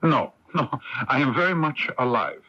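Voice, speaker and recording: A man's voice: mid-range voice, lively intonation, normal speed, bright, forceful tone. An actor playing a formal, imperious male foreign minister in a radio mystery drama, speaking precisely. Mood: stern, insistent. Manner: formal, precise, swooping emphasis. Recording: dull lo-fi radio recording.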